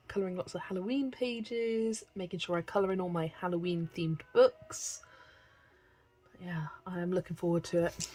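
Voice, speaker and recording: Slightly hoarse woman chatting on a livestream. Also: mid-range voice, darkish tone, low-quality recording. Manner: chatty, friendly, laughs often. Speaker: livestream host. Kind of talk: casual livestream chat while colouring.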